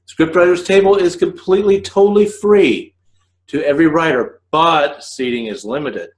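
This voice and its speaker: A man in his forties hosting an informal instructional podcast, talking to the camera in halting, measured phrases from a living room. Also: fairly high pitch. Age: 40s. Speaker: host of a scriptwriting video podcast. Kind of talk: informal explanatory talk to camera. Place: living room on a couch, indoors.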